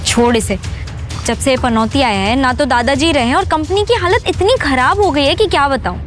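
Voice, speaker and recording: A woman's voice: high voice, moderate pace, natural voice. A woman acting a haughty, impatient young wife and businesswoman in a Hindi audio drama, curt and mixing in English words. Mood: exasperated. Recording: music behind the voice.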